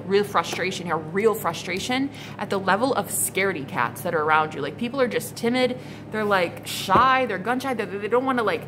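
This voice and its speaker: A woman in her thirties doing a casual tarot reading to camera, blunt and exasperated, swearing freely and repeating herself. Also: medium pitch. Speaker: psychic tarot reader. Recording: noticeable background noise.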